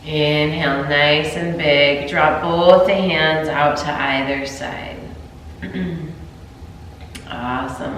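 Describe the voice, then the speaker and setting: A woman with a sinus cold leading a gentle yoga class, her voice congested and low, calm cues broken by throat clearing. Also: far from the mic, a little hiss or hum. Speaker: yoga instructor. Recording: roomy echo, voice from afar.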